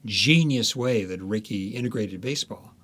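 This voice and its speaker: A man's voice: lowish voice, moderate pace, a bit bright. An American man in his seventies, an author being interviewed, telling anecdotes in an emphatic, enthusiastic way. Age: 70s. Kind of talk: reminiscing, anecdotal interview talk.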